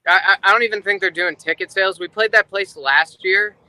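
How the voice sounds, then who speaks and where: Male interview guest, drummer from New Jersey: higher-pitched, sharply bright voice, casual delivery, dry sound. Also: brisk, no room sound, poor audio. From New Jersey, United States. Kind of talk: casual chat.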